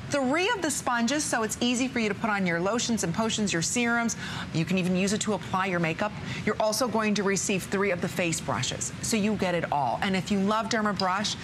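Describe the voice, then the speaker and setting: A woman in her forties hosting a TV shopping show, pitching a skincare kit from a studio set. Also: noisy background. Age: 40s. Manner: upbeat sales pitch, lists product contents. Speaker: shopping channel host. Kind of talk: TV shopping presentation.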